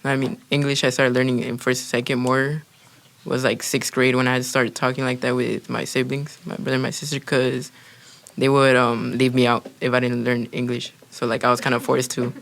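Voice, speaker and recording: Teen boy, native Spanish speaker, heavy vocal fry, sheepish and self-mocking. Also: mid-range voice, little pitch movement, normal speed, a bit bright. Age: teens. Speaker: student speech competitor. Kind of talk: casual chat.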